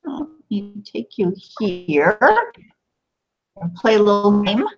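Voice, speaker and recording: A woman's voice: mid-range voice, slow pace, vocal fry. A woman teaching a language one-to-one online, giving short encouraging prompts and confirmations. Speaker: Arabic teacher. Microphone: online call. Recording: dull, lo-fi online call audio.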